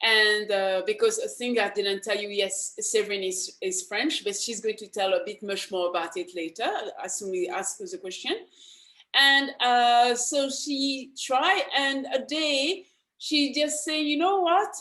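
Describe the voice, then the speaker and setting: A woman hosts an interview in an upbeat, loose way, full of fillers and restarts. Her voice sits fairly high, and the room rings with echo. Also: a little slow, fairly bright tone. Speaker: host. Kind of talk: casual interview hosting.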